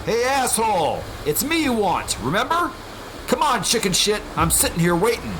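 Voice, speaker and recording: A man's voice: high-pitched, big pitch swings, normal speed, noisy background. A man playing a desperate, defiant young horror hero in an amateur radio play, urgent and strained. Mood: shouted taunting.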